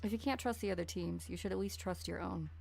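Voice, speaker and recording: A woman's voice: lowish voice, fast, creaky, darkish tone, soft background music. A woman playing a wary, blunt, seasoned mercenary with a cool delivery in an amateur game dub. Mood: cool, probing.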